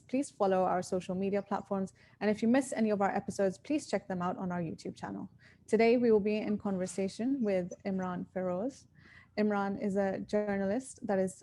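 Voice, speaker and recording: A woman's voice: medium pitch, warm tone. A woman hosting an interview series, reading her introduction with hesitant fillers and then asking the guest questions over a video call. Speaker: podcast host.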